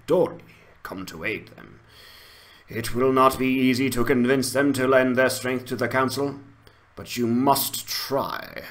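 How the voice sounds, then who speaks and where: A man's voice: medium pitch, fairly bright tone. A man playing a grave, injured ranger in a fantasy quest dramatization, speaking in a solemn, formal, dramatic way. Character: grave, injured male ranger giving orders in fantasy game.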